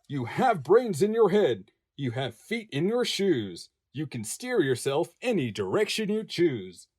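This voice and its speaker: A man narrating a rhyming picture book expressively, in a measured, sing-song manner. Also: fairly high pitch, very animated, a little mellow, breathy edge. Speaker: voice-over narrator.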